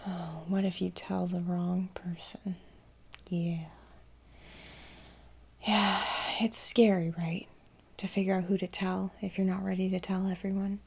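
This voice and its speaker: A young woman voicing a gentle female fairy in human form, whispering softly and reassuringly. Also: fairly low pitch, flat delivery, measured pace, long pauses, dull audio, heavily acted. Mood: gentle, playful. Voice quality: breathy whisper. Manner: soft soothing whisper, reassuring and hushing. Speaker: ASMR roleplayer. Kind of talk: whispered ASMR roleplay.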